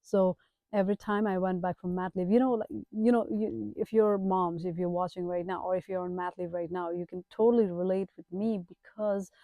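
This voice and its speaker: A woman's voice: lowish voice, steady tone, a little fast, no echo, narrow, tinny audio. A woman in her thirties with a Nepali accent, chatting casually to viewers in a self-introduction vlog, addressing them directly with emphatic asides. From Kathmandu, Nepal. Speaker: page owner and digital entrepreneur.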